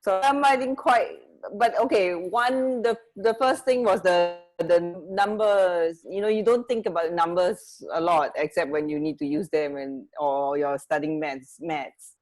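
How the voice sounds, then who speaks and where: A woman co-hosting a radio show in casual chat, halting and correcting herself as she goes. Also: lowish voice, fairly even intonation, darkish tone, faint music behind, poor audio. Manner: hesitant, halting, self-correcting. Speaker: radio co-host.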